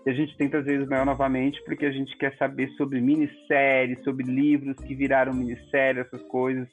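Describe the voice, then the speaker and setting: A man's voice: mid-range voice, monotone, a little fast. A man co-hosting a podcast, chatting casually and rambling with many restarts. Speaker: podcast co-host. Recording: phone-like audio with faint music.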